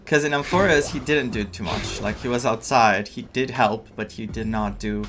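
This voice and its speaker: A man's voice: medium pitch, moderate pace, fairly bright tone, faint music behind. A man streaming a game playthrough with casual, informal, chatty commentary.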